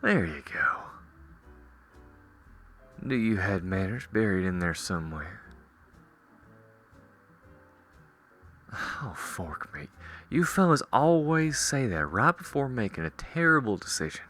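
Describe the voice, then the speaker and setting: Man voicing a deep, slow-drawling cowboy gunslinger. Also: very animated, a bit bright, soft background music. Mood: cool, threatening. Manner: slow, drawling, wry, reassuring. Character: calm, cocky gunslinging cowboy ranger, teasing but protective. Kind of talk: roleplay audio drama addressed to the listener.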